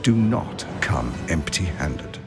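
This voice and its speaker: Pompous tyrant lord, swooping delivery. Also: male voice, a bass voice, sing-song, full character voice. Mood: ceremonial pride. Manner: pompous, measured oratory. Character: smooth, ambitious tyrant lord scheming for power. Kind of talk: theatrical fantasy game dialogue. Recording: music behind the voice.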